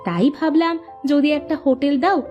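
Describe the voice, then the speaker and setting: A woman's voice: sits high, lively intonation, moderate pace, highs cut off, soft background music. A woman playing a caring, hopeful cartoon housewife, homely and coaxing with her husband. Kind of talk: cartoon story dialogue.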